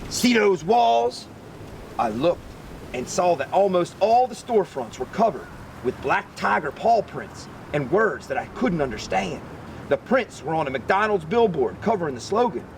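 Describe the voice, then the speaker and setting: A man reading a children's picture book aloud as an expressive narrator. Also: on the high side, normal speed, a little hiss or hum. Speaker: story reader.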